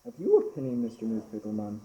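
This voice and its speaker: Teenage boy playing gruff, swooping strongman. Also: on the low side, very animated, fast talker, warm tone. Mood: challenging. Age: young teenager. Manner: blunt, commanding. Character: gruff, menacing Yorkshire strongman. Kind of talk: amateur school radio play. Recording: muffled amateur recording.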